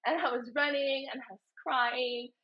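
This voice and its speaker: Woman from hawaii, fairly high voice, husky, earnest storyteller, muffled lo-fi video call. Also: steady tone, moderate pace. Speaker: podcast co-host. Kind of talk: casual panel chat.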